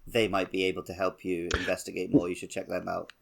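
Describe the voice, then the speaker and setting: A man's voice: low voice, monotone, fast, creaky. A man co-hosting a casual video game podcast in a chatty, tongue-in-cheek way. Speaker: podcast co-host.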